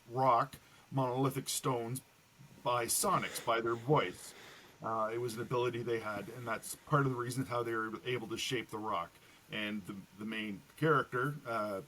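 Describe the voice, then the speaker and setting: Man explaining his work, halting delivery. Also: mid-range voice, a bit bright, low-quality recording. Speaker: guest novelist. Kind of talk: casual podcast interview talk.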